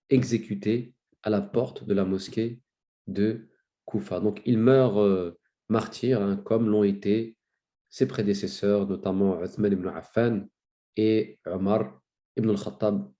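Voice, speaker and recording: A man with a cold giving a spoken lecture, telling a story with many fillers and restarts and rising in pitch for emphasis. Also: steady tone, measured pace, mellow. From France. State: has a cold. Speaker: lecturer on religious history.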